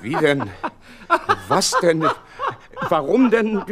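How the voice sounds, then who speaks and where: An actor playing a loyal, earnest detective's companion who narrates a radio play, with a husky voice and expressive delivery. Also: male voice, high-pitched, drawn-out words, crowd noise, full character voice. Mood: astonished.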